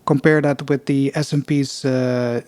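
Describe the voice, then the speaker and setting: A man's voice: mid-range voice, little pitch movement, measured pace, darkish tone, no room sound. A man with a Dutch accent hosting a loose, unpolished podcast, talking through charts with many fillers and restarts. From Netherlands. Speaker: podcast host. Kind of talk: casual investing podcast with chart walkthrough.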